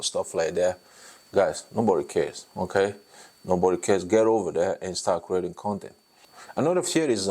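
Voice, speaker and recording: A man with a very deep, low voice and a non-native English accent, speaking to camera like a coach for beginners. He talks in a loose, encouraging way, repeating his points and checking in with a frequent "okay?" between plenty of fillers. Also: a little mellow. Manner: encouraging, repetitive, many fillers and "okay?" tags. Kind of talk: talking-head tutorial to camera.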